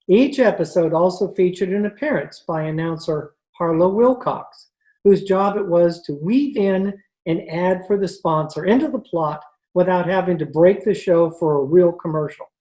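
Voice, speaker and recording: Mellow-voiced woman announcer reading a script. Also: lowish voice, poor audio. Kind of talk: scripted radio narration. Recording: video call recording.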